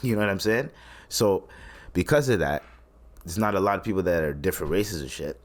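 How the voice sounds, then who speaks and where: A man's voice: low-pitched, fairly quick. A man from Tanzania hosting a solo podcast, rambling and swearing between his points. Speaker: podcast host. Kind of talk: casual solo podcast commentary.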